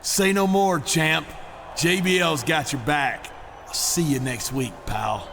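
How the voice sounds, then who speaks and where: A man playing an oily, falsely friendly, boastful wrestler in a video game cutscene, effusive with flattery. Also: on the high side, slow. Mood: flattering, jovial. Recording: noisy game cutscene audio.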